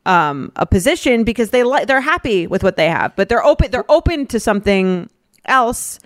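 A woman's voice: fairly high pitch, sing-song, moderate pace, no echo, clean studio sound. A woman co-hosting a podcast, giving advice in a casual, conversational way as she thinks aloud. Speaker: podcast co-host.

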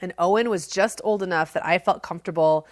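A woman's voice: lowish voice, a little fast, no room sound, clean studio sound. A middle-aged woman co-hosting a podcast, telling stories in a casual, candid, self-deprecating way with a big, projecting voice. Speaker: podcast co-host. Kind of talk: casual conversational podcast talk.

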